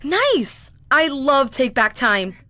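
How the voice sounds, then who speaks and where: A woman acting in an audio drama as an excitable, skeptical gamer kid with a cheeky manner. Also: sits high, slow pace, no echo, full character voice. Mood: excited.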